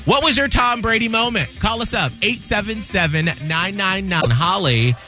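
A male radio host chatting loudly and with animation on a morning show. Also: high voice, normal speed, soft background music. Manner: loud, animated, laughing.

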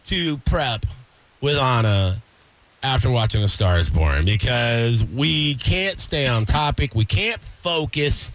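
A man's voice: medium pitch, lively intonation, slow pace, fairly bright tone. A male radio host chatting casually and jokingly with his co-host in the studio, rambling from one aside to the next.

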